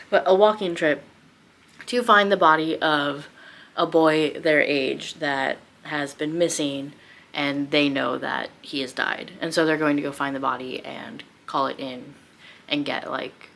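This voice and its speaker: A woman's voice: low voice, a bit bright. A woman talking casually and unscripted as a book vlogger, thinking aloud in a rambling, self-deprecating way.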